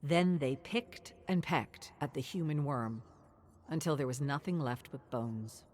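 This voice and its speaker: A woman reading dark fantasy lore as scripted narration, measured and grave, slowing down on the key words. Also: very deep voice, slight background noise.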